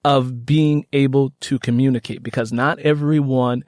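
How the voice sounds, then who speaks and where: A man's voice: mid-range voice, fairly even intonation, normal speed, a little mellow, dry recording, studio quality. An American man hosting an interview, speaking in an earnest, reflective and discursive way with frequent filler phrases. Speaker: podcast host, interviewer.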